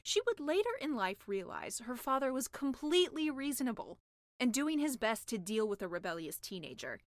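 Female storyteller for comic fairy tale narration, somewhat high and lively, dry recording. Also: moderate pace, no echo. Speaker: author reading own story.

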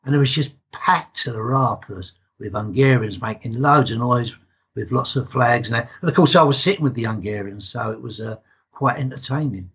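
Retired English man in his sixties, slightly husky, telling his stories casually and plainly. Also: mid-range voice, moderate pace. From England. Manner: chatty storyteller, relaxed and matter-of-fact. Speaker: podcast guest. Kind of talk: casual interview chat.

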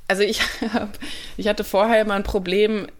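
A young woman from Germany, a musician answering interview questions in a casual, thoughtful way, pausing often with fillers and restarts. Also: mid-range voice, level tone.

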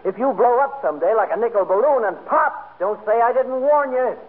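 A man's voice: very high-pitched, lively intonation, fairly quick. A man playing a needling, folksy doctor who lectures wryly in a 1940s radio comedy. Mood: wry scolding. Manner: wry, scolding, folksy. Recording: tinny vintage radio recording.

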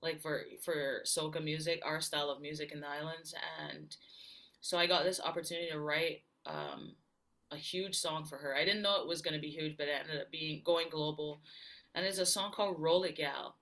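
A woman's voice: deep voice, fairly even intonation, moderate pace, a bit bright. A woman from Barbados, telling her story as a guest in a casual interview. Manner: chatty storyteller, many fillers and laughs. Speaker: guest singer-songwriter.

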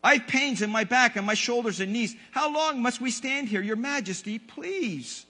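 A man's voice: very high-pitched, expressive, moderate pace, pushed and bright, full character voice. A man voicing a meek, suffering little turtle from a children's storybook, pleading politely. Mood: pleading, pained. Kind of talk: children's storybook read aloud. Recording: long hall reverb.

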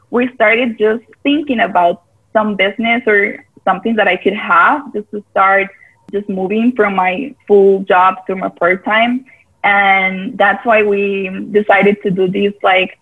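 A woman talks over a video call, her voice thin and narrowed like a phone line. Her pitch sits in a middle range. She rambles in a friendly way, scattering "like" through her sentences. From Costa Rica. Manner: chatty, many "like" fillers, loose grammar. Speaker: guest, part-time yoga teacher. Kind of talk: casual interview chat.

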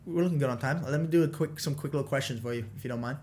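A man's voice: medium pitch, very fast, a little mellow. Encouraging man hosting a casual chat. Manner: encouraging, chummy, calls the guest "bro" and "man". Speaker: interviewer, podcast host. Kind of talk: casual podcast interview.

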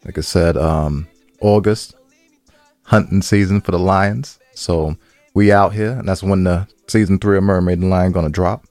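A man's voice: low-pitched, fairly even intonation, moderate pace, a little mellow, no room sound, faint music behind. A man co-hosting a podcast, telling stories casually in slangy speech and repeating phrases for emphasis. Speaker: podcast co-host. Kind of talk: casual banter and storytelling.

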